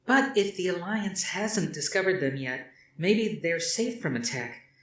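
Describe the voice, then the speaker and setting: A man reading aloud, voicing a sharp-tongued young woman officer who is the commander's daughter and keeps prodding her father with questions. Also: lowish voice, lively intonation, measured pace, echoey room, a little hiss or hum, voice pushed up. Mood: questioning. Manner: prodding, challenging.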